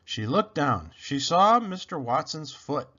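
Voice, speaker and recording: A man narrating a children's book aloud in an expressive, dramatic, emphatic style. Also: fairly high pitch, unhurried, muffled recording. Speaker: storybook reader.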